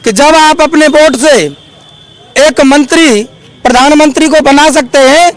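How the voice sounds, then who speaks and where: A very high-pitched male voice. He speaks as a monk and activist, earnestly exhorting the public in an impassioned street appeal. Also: fairly even intonation, measured pace, poor audio. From Uttar Pradesh, India.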